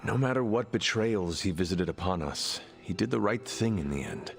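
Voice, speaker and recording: A grave, measured leader, offers counsel in careful formal phrases. The voice sits on the low side over faint music, in the manner of a game cutscene. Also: male voice, animated, gravelly. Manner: formal, avoids contractions. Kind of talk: video game cutscene acting.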